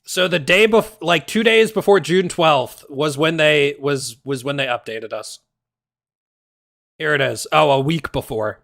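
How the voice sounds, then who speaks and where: A man streaming and ranting casually, heated and exasperated, swearing often, his voice going hoarse. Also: a little high, measured pace, a bit bright, no room sound, studio quality. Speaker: game streamer. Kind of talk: casual livestream rant.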